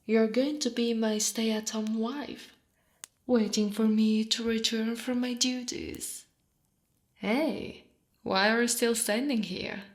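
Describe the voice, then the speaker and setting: Italian woman doing a female orc royal guard for asmr, super slow, soft breathy half whispered, bossy but tender and teasing. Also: fairly high pitch. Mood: tender, contented. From Italy. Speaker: ASMR roleplay performer. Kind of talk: intimate ASMR roleplay.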